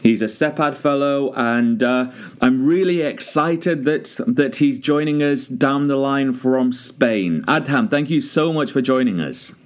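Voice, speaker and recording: A man hosting an interview, asking polite, unhurried questions of his guest. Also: medium pitch. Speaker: podcast host, interviewer. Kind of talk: conversational interview.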